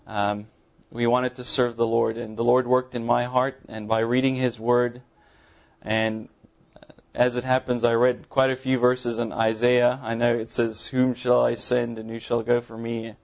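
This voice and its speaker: A man in his thirties from South Africa, an Afrikaans speaker with a mild Afrikaans accent, giving his testimony from the pulpit of a church in an earnest, halting way with many ums. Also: medium pitch, little pitch movement, creaky, muffled recording. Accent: Afrikaans-accented English, mild. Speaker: visiting pastor. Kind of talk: sermon testimony to a congregation. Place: church sanctuary.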